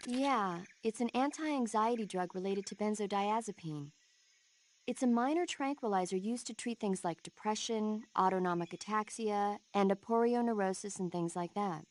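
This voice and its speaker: An actress playing a friendly, helpful field medic in a video game, giving information in an approachable way. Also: female voice, medium pitch, moderate pace, lightly acted. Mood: informative.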